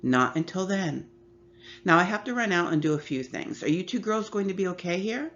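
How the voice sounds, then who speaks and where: Woman reading a weary, soft-spoken mother. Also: fairly low pitch, animated, normal speed, pitched above the performer's own voice. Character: tired gentle mother of two girls. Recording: slightly muffled with faint hum.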